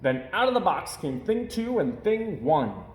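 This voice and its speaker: A man's voice: a little high, big pitch swings, a little slow, roomy sound. A man reading a children's story aloud as a storyteller, with theatrical, emphatic delivery of the rhymes.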